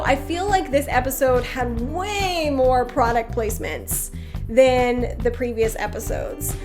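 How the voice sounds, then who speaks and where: Congested lady vlogger, kinda high voice, chatty and frank, music going behind her. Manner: chatty, laughs often, frank opinions. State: congested, clearing throat. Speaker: drama review vlogger. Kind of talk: casual spoken review.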